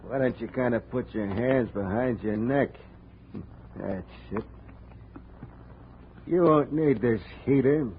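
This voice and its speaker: Gangster sidekick male actor, unhurried mock-casual menace, vintage radio melodrama, dull recording with light hiss. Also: medium pitch, close to an everyday voice. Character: smirking, slow-talking male hoodlum sidekick. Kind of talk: 1950 radio crime drama acting. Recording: muffled, noisy old radio broadcast.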